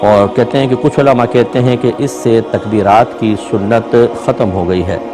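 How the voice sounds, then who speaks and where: A man speaking as an Islamic scholar, answering a religious question in a measured, explanatory way, point by point. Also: mid-range voice, steady tone, music in the background, low-quality recording.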